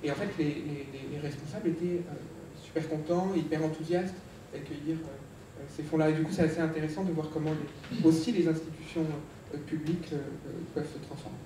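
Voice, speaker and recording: Panel speaker, man, slightly high voice, halting delivery full of fillers; far from the mic in a very echoey hall. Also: fairly even intonation, background noise. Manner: hesitant, many fillers and restarts. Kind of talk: conference panel talk. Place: large lecture hall.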